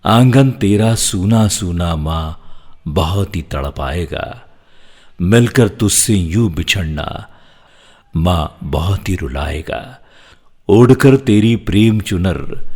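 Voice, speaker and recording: A man as husky, poetic festival spirit. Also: deep voice, darkish tone, full character voice. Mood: wistful farewell. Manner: poetic, emotive, declamatory. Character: festival spirit bidding devotees an emotional, poetic farewell.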